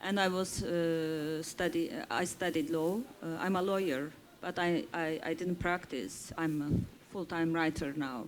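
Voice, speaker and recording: Woman from Turkey, Kurdish native, low and unhurried, faint background noise. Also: fairly even intonation. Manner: slow, deliberate speech with frequent pauses. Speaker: poet on a festival panel. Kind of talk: panel talk.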